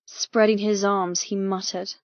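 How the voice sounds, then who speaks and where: A woman with a medium-pitched voice narrates dramatic fiction in the first person. It is a scripted audiobook read. The sound is very dry and slightly dull. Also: little pitch movement, a little slow, dry recording.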